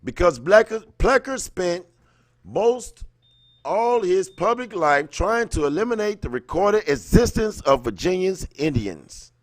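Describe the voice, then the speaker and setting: A man's voice: sits high, big pitch swings, unhurried, no echo. A man hosting a livestream in an informal, slangy way, moving between reading aloud and casual chat with viewers. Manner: informal, slangy, greets viewers by name mid-thought. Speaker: livestream host.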